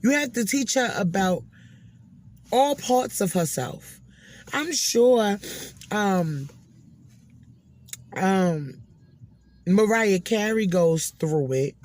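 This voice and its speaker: Woman scolding in slow, emphatic commentary. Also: medium pitch, lively intonation, darkish tone. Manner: scolding, emphatic, repeats phrases for stress. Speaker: host of a commentary and reaction channel. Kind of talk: opinionated social commentary, addressing an absent person.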